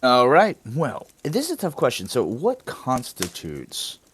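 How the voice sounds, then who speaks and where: A man's voice: medium pitch, wildly swooping pitch, measured pace, background noise. A man, co-hosting a casual chat and telling jokey, rambling stories. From Southern United States. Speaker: podcast co-host.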